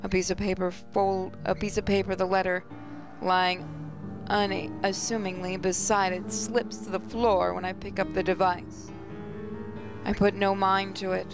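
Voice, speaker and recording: A woman with a medium-pitched voice narrates a visual novel in the first person. Her delivery is dramatic and emotive, and music plays clearly behind her. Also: little pitch movement, a little slow. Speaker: gaming channel host reading aloud.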